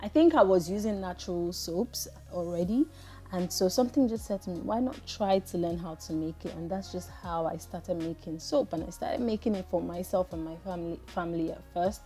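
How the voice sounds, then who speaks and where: Chatty Nigerian woman, lowish voice. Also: brisk, warm tone, soft background music. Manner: reflective, chatty, talks straight to viewers. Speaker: soap maker and channel host. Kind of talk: casual voice-over while making soap.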